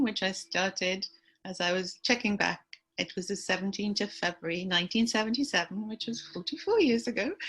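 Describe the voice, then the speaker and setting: An older woman from Trinidad speaks as the guest in a relaxed interview. She tells long stories about family and work in a chatty way and laughs often. Her pitch sits in an ordinary middle range. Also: rough recording. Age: 60s. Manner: chatty, warm laughter, storytelling. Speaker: aesthetic nurse, guest.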